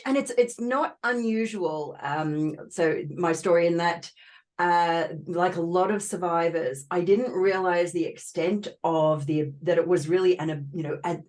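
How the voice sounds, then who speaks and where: A woman's voice: on the low side, a little mellow. A woman from Australia with an Australian accent touched by British tones, a podcast guest explaining her experiences earnestly with many fillers and restarts. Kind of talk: casual interview talk.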